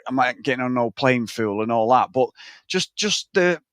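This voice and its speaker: A man's voice: medium pitch, lively intonation, normal speed, a bit bright, no echo, studio quality. A man, co-hosting a podcast and chatting casually about a film in a frank, rambling way. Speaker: podcast co-host. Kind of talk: casual film review chat.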